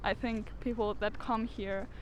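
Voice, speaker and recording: A young woman from Germany answering street interview questions outdoors, pausing with fillers. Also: medium pitch, fairly even intonation, slight background noise. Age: young adult. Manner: hesitant, many fillers. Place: outdoors at a city plaza. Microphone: handheld mic held by interviewer.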